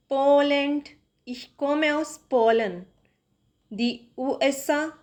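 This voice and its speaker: Slow, careful woman teaching German. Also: high voice. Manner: slow, deliberate repetition of words. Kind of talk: beginner language lesson.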